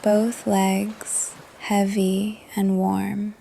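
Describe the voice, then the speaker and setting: A woman leading a guided meditation in a soft, calm, breathy voice that often trails into a whisper, with gentle pauses. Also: mid-range voice, slight background noise. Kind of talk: guided meditation, yoga nidra narration. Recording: ocean surf under the voice. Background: ocean waves ambience.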